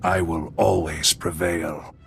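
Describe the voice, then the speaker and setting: An actor playing a menacing cybernetic crime boss in a video game, with a grandiose, contemptuous, threatening delivery. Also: male voice, low voice, little pitch movement, takes it slowly, creaky, a bit bright, faint music behind, full character voice. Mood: boastful. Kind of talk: video game villain acting.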